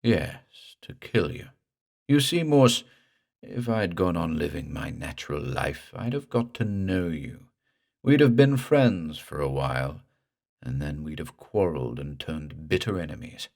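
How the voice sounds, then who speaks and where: A man voicing a drowned dead man risen from a lake, speaking in a slow, guttural, gurgling drawl. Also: a bass voice, animated, vocal fry, darkish tone, heavily acted. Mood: menacing.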